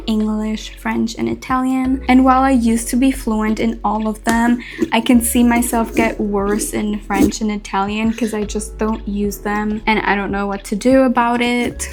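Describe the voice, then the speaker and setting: Young woman from Switzerland, fairly high voice, earnest chatty vlog tone, faint music underneath. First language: Swiss German. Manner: chatty, earnest, with soft laughs. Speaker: content creator. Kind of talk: casual Q&A vlog while carving a pumpkin.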